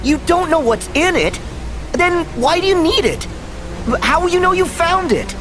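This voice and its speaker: An eager, headstrong boy hero in a game. The voice is high and animated, earnest and blunt, with loud music behind it. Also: normal speed, rough recording. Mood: questioning. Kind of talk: video game cutscene acting.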